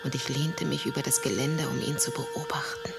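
An actress playing a dreamy, haunted young gentlewoman in a radio play, speaking in a hushed way. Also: a bass voice, fairly even intonation, a little fast, vocal fry, loud music behind the voice. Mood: entranced, dreamy. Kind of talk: German radio play acting.

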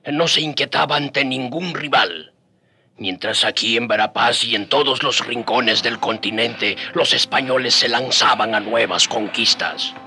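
An actor playing a grave native narrator who recalls the Spanish conquest in a radio serial. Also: male voice, medium pitch, measured pace, close to an everyday voice. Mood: solemn. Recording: music behind the voice.

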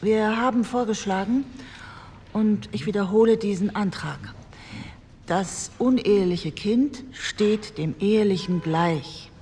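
A woman's voice: medium pitch, measured pace, dark tone, lightly acted. An actress playing a determined social democrat woman politician of 1948, making a firm, insistent parliamentary speech. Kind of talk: formal parliamentary speech, re-enacted. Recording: long hall reverb, faint background noise.

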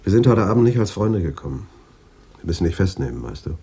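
A tired, sardonic middle-aged detective in a radio crime play. The low voice is husky and soft-edged, swinging from dry mutters to emphatic lines. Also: male voice, very animated, quick pace, full character voice. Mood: regretful, official. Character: weary, sardonic aging male police detective. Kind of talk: radio crime drama acting.

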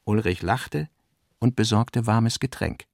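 Gravelly male narrator, dry studio sound. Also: lowish voice, slow, darkish tone, no echo. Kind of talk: scripted literary narration.

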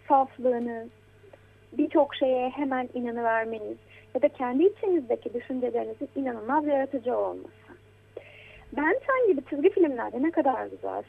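An adult woman talking conversationally as a programme guest, encouraging and gently explaining things as if to a child. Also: high voice, gravelly. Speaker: guest commentator on children's media. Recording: tinny, phone-like narrow band.

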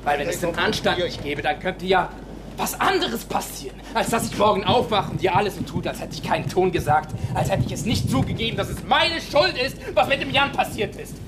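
An actor playing a bitter, defiant young village man, speaking with sarcastic, halting emphasis in a radio drama. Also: sits high, animated, background noise. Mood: defiant, resigned.

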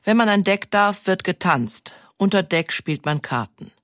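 A woman's voice: mid-range voice, expressive, unhurried, dry recording, clean studio sound. A woman reading scripted narration for an audio history documentary.

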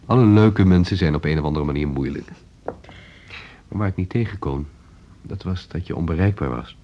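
An actor playing a dry, playful male lover in a radio drama, teasing and intimate, in unhurried speech. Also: deep voice, muffled recording. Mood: probing, tender. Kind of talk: naturalistic Dutch radio drama acting.

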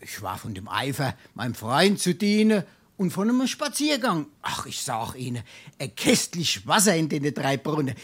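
A man's voice: fairly high pitch, sing-song, normal speed, fairly bright tone, breathy edge, heavily acted. A man playing a wily, shameless scrounger in a Darmstadt dialect stage comedy, pompous and full of flowery courtesy. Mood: smooth, evasive. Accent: Darmstadt Hessian. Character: wily, shameless male scrounger and bon vivant.

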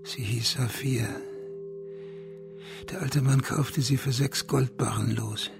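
Bitter, creaky-voiced proud aristocrat. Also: male voice, mid-range voice, fairly even intonation, takes it slowly. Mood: proud, wry recounting. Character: bitter, proud last heir of a declining Chinese family. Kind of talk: radio crime drama acting. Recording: music behind the voice.

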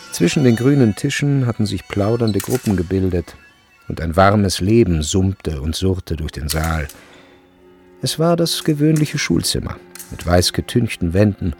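A man narrating a literary radio drama in a measured, deliberate way. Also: lowish voice.

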